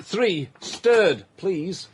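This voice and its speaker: Florid scribbler, comic radio play; rough-edged voice, pompous, prickly, insistent. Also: male voice, sits high, expressive, very slow, heavily acted. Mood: brusque. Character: florid fat man, self-important unpublished hack writer, prickly. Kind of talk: comic radio drama acting.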